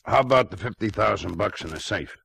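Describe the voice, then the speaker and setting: An actor playing a crude, greedy tough in an old-time radio drama, with a rough, gravelly voice. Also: male voice, fairly low pitch, expressive, a little fast, fairly bright tone, exaggerated voice. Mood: blunt, grasping.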